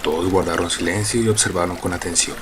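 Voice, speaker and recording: A man narrating an audio drama in a measured, scripted storytelling style. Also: low-pitched, steady tone, noisy background.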